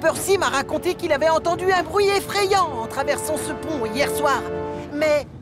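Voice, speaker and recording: A woman's voice: high voice, moderate pace, loud music behind the voice, natural voice. A woman voicing a cheerful young male tank engine who reassures his friends, in a cartoon dub. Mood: hesitant. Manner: upbeat and reassuring, hesitant when unsure.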